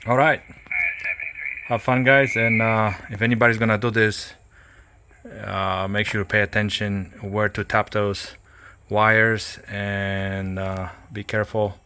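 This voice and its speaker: A man giving a casual hands-on tutorial, speaking haltingly as he thinks aloud while working. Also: on the low side, flat delivery, sharp tone, clear, resonant tone. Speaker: CB radio hobbyist demonstrating an installation.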